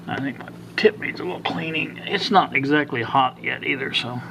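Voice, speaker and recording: A man's voice: on the high side, moderate pace. A man in his sixties giving a casual, unscripted how-to talk in a hesitant, rambling way, as a hobbyist repair host. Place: kitchen at home. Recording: clear background noise, amateur home video.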